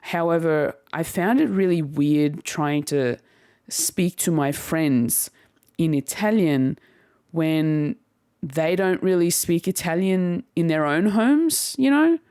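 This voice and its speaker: A young adult host muses aloud in an unhurried, easygoing way, circling back and correcting themselves with little laughs along the way. The voice is smooth and clean. The recording is dry and close, with no room sound. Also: mid-range voice, darkish tone, dry recording. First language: Turkish heritage household, speaks English at home. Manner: reflective and rambling, frequent laughs and self-corrections. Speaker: podcast host. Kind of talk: casual solo podcast monologue.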